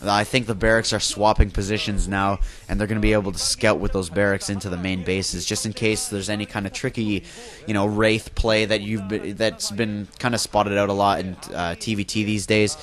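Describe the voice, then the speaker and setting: This is a young man with a congested, nasal voice, a little on the low side, calling a video game match on his own. He talks loosely, stacking fillers and correcting himself mid-sentence as he tracks the play. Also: flat delivery, normal speed, a bit bright. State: congested from a flu, nasal, coughing. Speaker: esports caster. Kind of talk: solo play-by-play game commentary.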